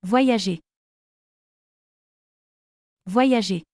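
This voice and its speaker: A woman speaking very slowly and deliberately, giving each short phrase twice as a model for learners. The sound is dry and close, with no room echo. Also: mid-range voice, darkish tone. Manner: careful, deliberate enunciation. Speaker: pronunciation model. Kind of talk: slow repeated drill phrases.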